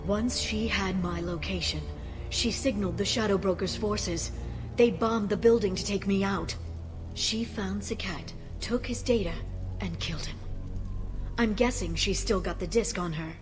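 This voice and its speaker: Woman playing a calm but icy researcher lady in a video game, echoing space, music going. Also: mid-range voice, a little slow, close to an everyday voice. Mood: cold, accusing.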